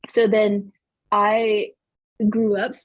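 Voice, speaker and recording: A woman from Southern New Mexico whose first language is Spanish, telling family stories as a podcast guest in an animated way, laughing often and saying "like" a lot. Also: medium pitch, drawn-out words, no echo, lo-fi sound, soft background music. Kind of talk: casual interview storytelling.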